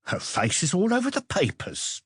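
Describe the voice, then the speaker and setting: A man's voice: high-pitched, wildly swooping pitch, normal speed, fairly bright tone, breathy edge, no echo, studio quality, pitched above the performer's own voice, exaggerated voice. A man voicing an indignant, stubborn old woman in her sixties who denies everything, read in an audiobook. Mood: indignant, defensive. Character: defensive old woman, retired fortune teller in her sixties. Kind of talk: audiobook character voice.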